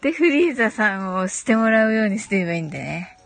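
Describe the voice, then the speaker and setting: A woman's voice: mid-range voice, animated, fast, creaky. A woman hosting a casual live audio chat, answering listener comments in a giggly way, often repeating short phrases of agreement.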